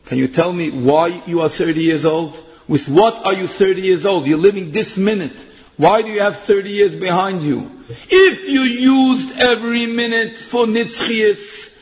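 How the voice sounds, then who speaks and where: A man giving a sermon, mixing in Hebrew terms and half-chanting verses. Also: high-pitched. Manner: English mixed with Yiddish and Hebrew terms, chanting some verses. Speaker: rabbi giving a Torah talk. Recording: long hall reverb.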